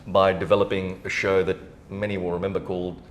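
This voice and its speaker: A man with a deep, low voice hosts a casual podcast interview. The room adds noticeable echo, and there is a faint rumbling noise behind him. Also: little pitch movement, brisk. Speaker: interview host.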